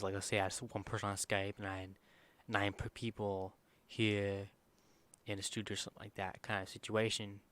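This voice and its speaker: A man with a soft, quiet voice that sits a little low talks through a screen-recorded tutorial. He rambles informally and leans on fillers. He often stops mid-phrase to correct himself. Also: clear, resonant tone. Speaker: streamer giving a software tutorial.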